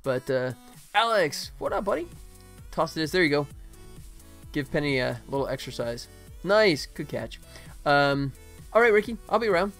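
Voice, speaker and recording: An American man from Atlanta hosting a casual livestream, chatting informally with viewers as he works on his game. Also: on the high side. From United States, Atlanta. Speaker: game developer and livestream host. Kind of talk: casual livestream chat while working.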